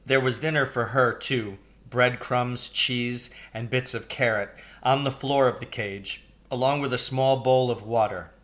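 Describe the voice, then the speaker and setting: A man's voice: mid-range voice, steady tone, unhurried, hardly any pauses, fairly bright tone. A middle-aged man reading a children's novel aloud as a storybook narrator. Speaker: storybook reader, teacher. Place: indoors, large living room.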